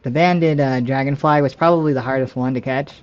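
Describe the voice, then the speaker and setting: A man's voice: medium pitch, steady tone, a little fast. Late-20s guy streaming a game, chatty and rambly, keeps fixing what he just said, kinda muffled lo-fi sound. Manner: rambling, self-correcting, laughs often. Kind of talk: casual live-stream chat with viewers.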